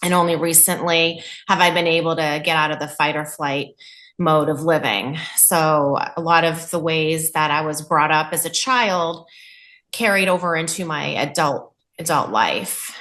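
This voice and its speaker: A woman from New England, a nurse and podcast guest, telling her life story in an earnest, open way with a loud voice. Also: sits low, measured pace.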